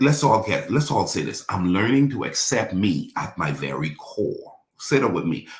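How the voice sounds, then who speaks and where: A deep-voiced man in his forties preaches with an animated, widely swinging pitch. He repeats his lines and draws the congregation into affirmations. His voice carries a roomy echo. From Bahamas. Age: 40s. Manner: repetitive call-and-response preaching, leads congregation in affirmations. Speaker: pastor. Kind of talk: sermon.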